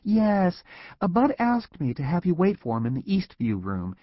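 A man voicing an enthusiastic female secretary in an audiobook, speaking with bright eagerness. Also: high voice, huge pitch swings, normal speed, no echo, voice pushed up, exaggerated voice. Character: cheerful woman secretary, enthusiastic. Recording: dull lo-fi audio.

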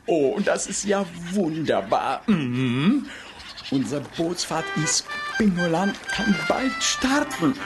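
A woman's voice: sits low, sing-song, slow, full character voice. A woman voicing a cheeky, playful young creature in a children's audiobook, talking in a sing-song, giggly way. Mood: cheerful. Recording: children's audio drama with music behind.

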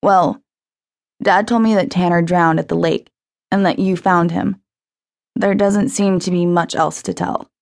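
A woman's voice: on the low side, fairly even intonation, unhurried, creaky. A woman voicing a nervous, skeptical teenage boy who speaks hesitantly and awkwardly.

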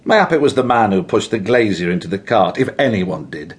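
An actor playing an overbearing, impatient Tudor official with a husky voice and a brusque, contemptuous manner, in a radio drama. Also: male voice, lowish voice, lively intonation, fairly quick. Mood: brusque, commanding. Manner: brusque, commanding. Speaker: senior official. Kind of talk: full-cast radio drama.

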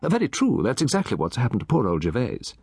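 A man voicing a gossipy, genteel elderly English gentleman, raised in pitch, chatty and lightly amused. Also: wildly swooping pitch, quick pace, gravelly, slightly rough, no echo, clean studio sound, heavily acted. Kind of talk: audiobook character voice.

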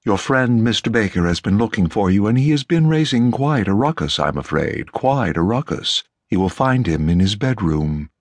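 A man's voice: fairly low pitch, animated, normal speed, hardly any pauses, no room sound. A man voicing a sombre, dignified butler who speaks politely and formally, fretting a little, in an audiobook. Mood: anxious, apologetic. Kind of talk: audiobook character dialogue.

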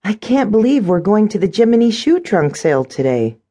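Woman voicing an earnest young stepdaughter, high-pitched. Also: big pitch swings, measured pace, mellow, slightly rough, full character voice. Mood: amazed delight. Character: put-upon, dreamy twenty-something stepdaughter.

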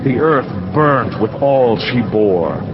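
A man reading a dramatized novel in a slow, solemn, incantatory radio-theater style. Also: mid-range voice, expressive, dull audio, crowd noise. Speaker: narrator.

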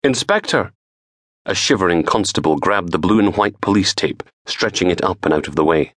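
A middle-aged man reading a crime novel aloud in a dramatic, measured storytelling manner. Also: low voice, a bit bright, no room sound, studio quality. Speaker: author reading own audiobook. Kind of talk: scripted crime fiction narration.

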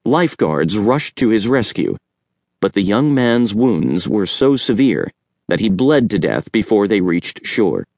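A man's voice: on the low side, very animated, unhurried, darkish tone, no room sound, studio quality. A man reading a children's story aloud as scripted audiobook narration.

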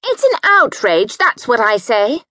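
Shrill domineering wife voiced by a woman, loud hectoring tone, very high, dry close sound. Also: wildly swooping pitch, moderate pace, no echo, heavily acted. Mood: indignant.

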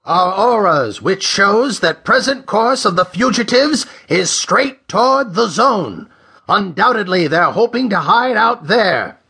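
An elderly fleet commander in a pulp space story, put on by a male narrator. The voice is pitched well up, formal and crisp, as if sending urgent orders by radio. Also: lively intonation, unhurried, sharp tone, full character voice.

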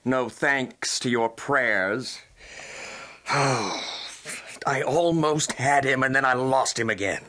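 A man playing a gruff, weary frontier lawman and husband with a growling, brusque manner in a children's audio drama. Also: mid-range voice, slow pace, sharp tone.

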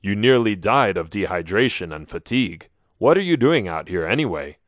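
A protective village father who speaks low and firm, put on by a man reading a story. His voice is dry and close. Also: big pitch swings, normal speed, fairly bright tone, no echo. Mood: calm.

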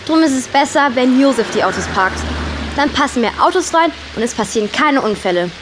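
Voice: high-pitched, expressive, a little fast, noisy background. A performer playing a cheeky, sarcastic country girl in a children's audio drama, with a wry delivery. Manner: wry, mock-formal at times.

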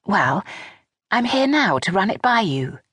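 A woman's voice: medium pitch, sing-song, unhurried, fairly bright tone, breathy edge, dry recording, studio quality, pitched above the performer's own voice, heavily acted. A woman voicing an anxious middle-aged widow who hesitantly makes her case to her mother-in-law. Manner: hesitant, nervous and placating.

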